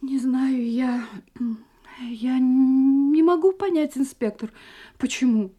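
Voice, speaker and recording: Scared young wife in a radio play, high soft mellow voice, shaky halting delivery. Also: female voice. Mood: anxious, confessing. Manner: agitated, halting, dramatic. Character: frightened young wife recounting her escape from a murderous husband. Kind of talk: Russian audio drama acting.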